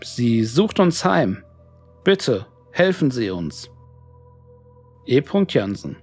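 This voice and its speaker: Gravelly-voiced male horror narrator. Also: mid-range voice, very animated, slow, darkish tone. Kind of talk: scripted narration of an email horror story. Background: music.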